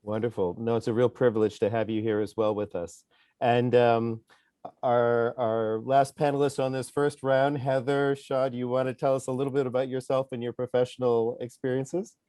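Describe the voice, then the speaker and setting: A man moderating a panel on a video call, welcoming each speaker in an unhurried way. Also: mid-range voice, flat delivery, warm tone, clean tone, no echo, clean studio sound.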